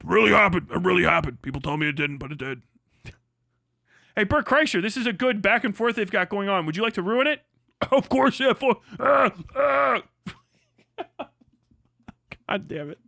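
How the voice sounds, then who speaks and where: A man's voice: high voice, lively intonation, moderate pace, creaky, long pauses, sharp tone, no room sound, studio quality. A young man hosting a livestream, reading chat aloud and rambling in casual, profane commentary with frequent restarts. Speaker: livestream host.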